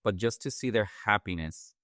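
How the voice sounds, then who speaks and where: Low, smooth man with a Puerto Rican accent. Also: normal speed, bright, forceful tone, no echo, clean studio sound. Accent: Puerto Rican Spanish. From Puerto Rico. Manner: earnest and reflective, thinks aloud. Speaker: podcast co-host. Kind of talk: therapy-informed conversation.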